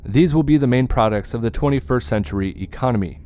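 A man delivering prepared remarks in a measured speech style. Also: medium pitch, dark tone. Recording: noticeable background ambience noise.

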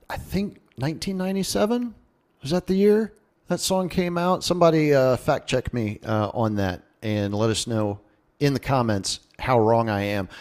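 Male music-request DJ, average pitch, leisurely pace, casual and wry between tracks. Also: a little mellow. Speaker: radio host. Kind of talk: casual radio DJ chat between songs.

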